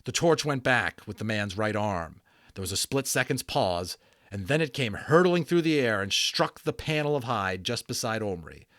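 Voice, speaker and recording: A man's voice: lowish voice, expressive, moderate pace, fairly bright tone, no room sound. Dramatic male storyteller, close dry sound. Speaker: audiobook narrator. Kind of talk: dramatic storybook narration with casual asides.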